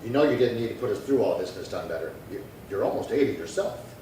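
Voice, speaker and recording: A man acts a patient police detective in a 1950s-style radio drama. He talks quickly in clipped, deadpan, courteous phrases. The sound is far from the mic and very echoey. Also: close to an everyday voice. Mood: patient, polite. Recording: echoey room, distant mic, faint rumble.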